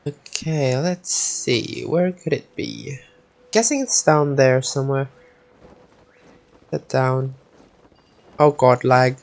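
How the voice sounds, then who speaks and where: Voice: mid-range voice, slow pace, pauses often, darkish tone. A video game streamer giving casual gameplay commentary, thinking aloud and muttering with the odd swear word.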